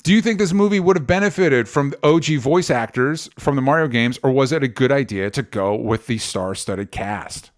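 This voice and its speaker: A man's voice: mid-range voice, normal speed, hardly any pauses. A man with a mid-range voice talks through movie opinions as a podcast co-host. He is argumentative and exasperated, chatting casually and tossing in the odd swear word.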